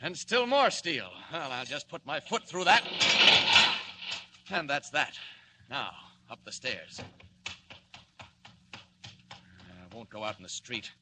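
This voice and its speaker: An actor playing a confident, defiant superhero in a 1940s radio serial, self-assured and wry in a declamatory way. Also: male voice, medium pitch, sing-song, takes it slowly, long pauses. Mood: bold, exultant. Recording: very noisy old radio recording.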